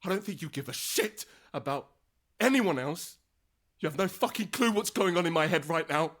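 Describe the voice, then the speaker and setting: A man's voice: a little high, lively intonation, normal speed, fairly bright tone. A man acting a desperate, anguished middle-aged father in a post-apocalyptic audio drama, narrating in the first person with raw intensity. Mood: desperate anger. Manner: intense, emotionally raw, sweary. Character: desperate, anguished father surviving an apocalypse, haunted and guilt-ridden.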